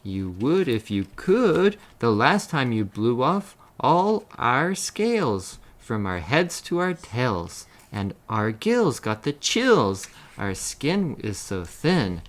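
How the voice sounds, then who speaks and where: A man's voice: mid-range voice, very animated, slow pace, hardly any pauses. A man voicing a worried, pleading little fish in a children's storybook.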